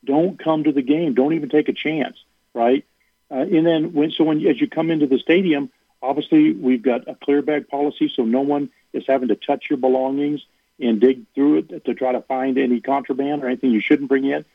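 Raspy guy calling in on a tinny phone line, gravelly, earnest, repeats himself a lot. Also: medium pitch, steady tone, brisk, no echo. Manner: earnest, repeats words when stressing. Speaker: athletic director guest. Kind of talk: radio interview answers.

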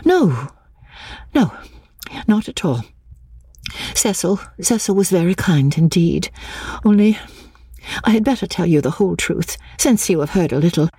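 A woman voicing an excitable, desperate young Englishwoman in an audiobook, gushing breathlessly and stammering over repeated words. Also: lowish voice, a little slow, pauses often, slightly rough, dry recording, voice pushed up, heavily acted. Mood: flustered. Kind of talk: audiobook character dialogue.

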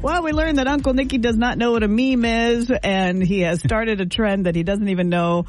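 A woman's voice: on the high side, normal speed, dry recording. A woman in her fifties co-hosting a morning radio show, chatting casually and laughing often as she tells stories. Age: 50s. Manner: giggly, animated storyteller.

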